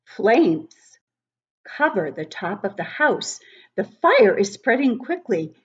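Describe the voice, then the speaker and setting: Through slightly muffled, lo-fi sound, a woman reads a picture book to young children at an unhurried pace. She sounds like an encouraging teacher, giving the text clear emphasis and chatting directly to her little listeners with questions and asides. Her pitch sits in an ordinary range for a woman. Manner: expressive, emphatic when reading. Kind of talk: read-aloud storybook narration with questions to children. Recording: dull, lo-fi sound.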